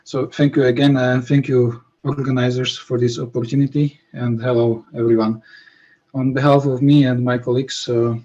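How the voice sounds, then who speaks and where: Guy giving a science talk on a video call, kinda flat voice, muffled audio, lots of uh's. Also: medium pitch, normal speed. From Slovakia. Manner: hesitant, many fillers. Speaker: conference presenter.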